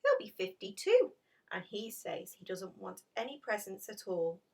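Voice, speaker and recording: A woman's voice: mid-range voice, huge pitch swings, a little slow, dark tone, distant mic, full character voice. A woman voicing an earnest, downcast schoolgirl in a children's storybook. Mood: gloomy.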